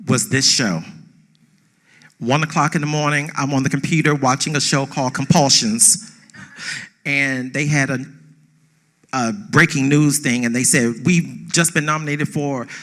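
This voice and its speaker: A man's voice: medium pitch, a bit bright. A man giving an emotional, off-the-cuff acceptance speech on stage, speaking emphatically and repeating phrases for effect. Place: on stage at a theatre awards ceremony.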